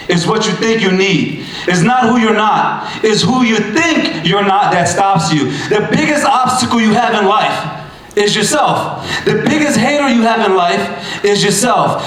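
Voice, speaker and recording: A man in his thirties with a high-pitched voice delivers a fired-up motivational speech, hammering lines home through repetition and the odd shout. The sound rings around a very echoey hall. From Bangladesh. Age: 30s. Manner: emphatic, punchy repetition, sometimes shouting. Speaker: motivational speaker. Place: event stage in a large hall.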